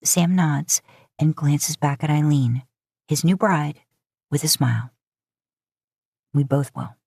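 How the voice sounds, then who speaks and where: A woman's voice: low voice, measured pace, creaky, darkish tone, breathy edge, dry recording, studio quality. A woman reading scripted fiction as an audiobook narrator.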